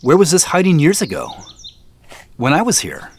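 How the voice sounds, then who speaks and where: A man's voice: a little high, huge pitch swings, normal speed, husky, exaggerated voice. An actor playing a polite, easily impressed man visiting from the city in an audio drama. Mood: amazed. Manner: courteous, impressed. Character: Joseph, polite, wide-eyed grown visitor from the city. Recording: faint noise with birdsong.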